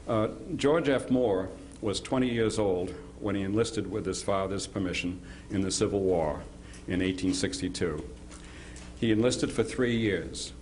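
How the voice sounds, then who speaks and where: A man giving an informal historical introduction as presenter, halting and often repeating words as he goes. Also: low voice, darkish tone, a little hiss or hum. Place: live presentation before an audience.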